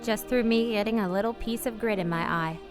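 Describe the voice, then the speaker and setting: A woman's voice: mid-range voice, over music, close to an everyday voice. An actress playing an earnest, guilt-ridden married woman in a radio play, speaking in a refined, hesitant way. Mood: wistful narrating. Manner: hesitant, earnest, refined, with halting repeated words. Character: married woman, anxious, guilt-ridden, tenderly confessing a secret love. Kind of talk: radio play acting with confessional narration.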